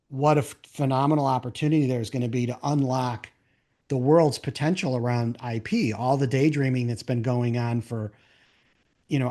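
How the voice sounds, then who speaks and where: A man with a mid-pitched voice hosts a casual interview show. He talks in enthusiastic, rambling riffs, working out his thoughts as he speaks and checking that he is being followed. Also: a little mellow. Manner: thinks aloud in rambling, enthusiastic riffs, often checking with "right?". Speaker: podcast host and interviewer.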